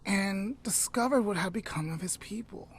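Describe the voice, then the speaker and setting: A woman casually retelling a story to her podcast co-host, unhurried, often trailing off into a soft near-whisper, with chatty asides and self-corrections. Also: mid-range voice, slight background noise.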